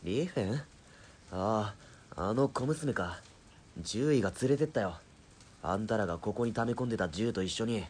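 A man's voice: on the low side, lively intonation, normal speed, a little mellow, slight background noise, lightly acted. An actor playing a captured military policeman in an audio drama, defiant but cornered, blustering and grudging.

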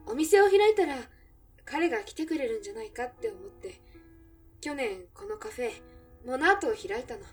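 Woman acting high-voiced, wistful cafe owner in radio drama. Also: sing-song, moderate pace, distant mic, soft background music. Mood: wistful, nostalgic. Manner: reflective, feminine, emotive storytelling. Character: wistful female cafe owner recalling a lost love. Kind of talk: Japanese audio drama acting.

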